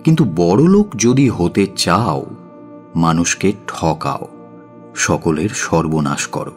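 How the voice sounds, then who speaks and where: Deep voiced guy reading a story slowly and dramatically, lots of swing in his pitch, music behind. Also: animated. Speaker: narrator and presenter. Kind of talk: dramatic scripted story narration.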